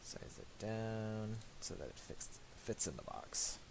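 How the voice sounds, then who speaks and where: A man giving a casual, unscripted software tutorial, thinking aloud hesitantly and a little self-deprecatingly. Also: on the low side, very animated, slow pace, vocal fry. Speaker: tutorial presenter. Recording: hissy home recording with mouse clicks.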